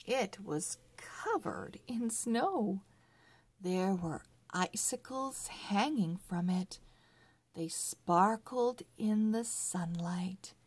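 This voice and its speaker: A woman storyteller reading a children's story aloud in a deliberate, word-by-word read-along style for early readers. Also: mid-range voice, expressive, darkish tone, slightly rough.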